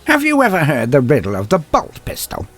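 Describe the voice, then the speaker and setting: A man voicing a gruff, defiant old inquisitor in an audio story, mocking and theatrical, with a gravelly, husky voice. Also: on the high side, wildly swooping pitch, fairly quick, fairly bright tone, soft background music, voice pushed up, heavily acted. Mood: sly, taunting. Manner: theatrical, taunting, tags questions with "eh".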